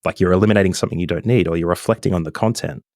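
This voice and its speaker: Podcast guest: man, mild Australian accent, very low voice, dry close sound, easygoing and rambling. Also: racing, dry recording. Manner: relaxed and chatty, with many ums and self-corrections. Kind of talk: casual interview chat.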